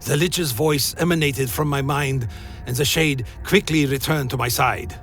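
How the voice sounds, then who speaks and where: An actor playing a weary undead vampire wanderer, telling his journey in solemn, literary phrasing for a dark fantasy radio play. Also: mid-range voice, normal speed, lightly acted. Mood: solemn, brooding. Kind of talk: dramatic first-person scripted narration, dark fantasy radio play. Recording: music behind the voice.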